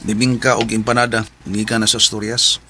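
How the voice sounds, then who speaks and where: A man's voice: lowish voice, flat delivery, fairly quick, bright, forceful tone, a little hiss or hum. A man playing a reserved, courteous young suitor in a Filipino radio drama, with a Cebuano accent. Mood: courteous, hesitant.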